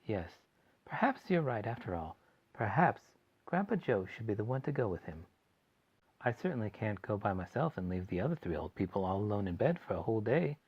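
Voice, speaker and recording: A gentle, sensible mother in a storybook reading, speaking low in volume and a little higher than the reader's own voice, as a man puts her on. Her tone stays soft and reasoning, with a lively lilt. The sound is dull and lo-fi. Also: a little fast, husky. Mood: coaxing. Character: calm, sensible mother, middle-aged woman. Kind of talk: audiobook character voice. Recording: dull lo-fi recording.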